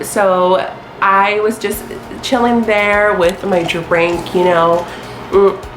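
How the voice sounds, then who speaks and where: A woman's voice: mid-range voice, roomy sound, rough recording. A young woman in her twenties with a stuffy nose from a sinus infection, chatting casually to camera with lots of ums and likes. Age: 20s. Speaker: astrology vlogger.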